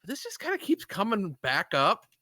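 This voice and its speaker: A man's voice: high-pitched, huge pitch swings, normal speed, sharp tone, no room sound, studio quality. A man co-hosting a live stream, chatting casually about gaming news in a rambling way. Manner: rambling, lots of fillers and agreement. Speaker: podcast co-host. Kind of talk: casual chat.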